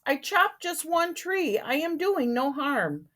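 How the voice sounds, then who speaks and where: A woman's voice: high voice, very animated, slow pace, fairly bright tone, pitched above the performer's own voice, heavily acted. A woman reading the part of a greedy, brash male tradesman in a storybook, boastful and brusque. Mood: defensive, boastful.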